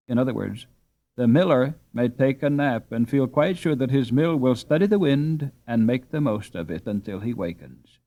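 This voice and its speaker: A man's voice: medium pitch, dark tone. A man reading a classic book aloud as scripted audiobook narration.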